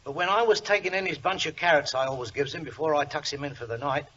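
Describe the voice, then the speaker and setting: An actor playing a deferential, worried horse trainer in a 1940s radio drama, with an urgent manner. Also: male voice, fairly high pitch, fast talker, a bit bright, a little hiss or hum, natural voice. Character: anxious, deferential rustic male horse trainer. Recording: muffled old radio recording, music behind.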